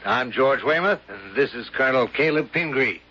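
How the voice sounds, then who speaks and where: A man playing a measured, sociable zoology professor in an old-time radio drama. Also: medium pitch, expressive, bright, forceful tone. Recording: muffled, hissy vintage radio recording.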